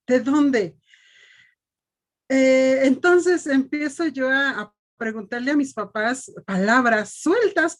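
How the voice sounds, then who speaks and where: A woman teacher telling her experience in a panel discussion, steady and earnest, from Mexico. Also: a little high.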